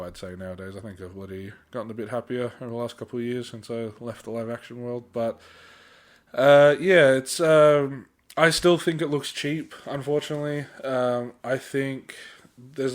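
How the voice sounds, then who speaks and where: A man's voice: mid-range voice, fairly even intonation, normal speed. A young man co-hosting a podcast, talking casually and candidly with slang and self-corrections. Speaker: podcast co-host. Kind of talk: casual film discussion.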